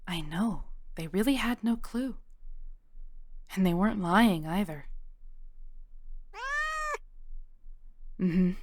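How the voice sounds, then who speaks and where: A woman playing a kindly modern witch shopkeeper, patient, wry and reassuring, in an intimate scripted audio roleplay, speaking gently as she explains. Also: lowish voice, unhurried. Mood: confiding, wry.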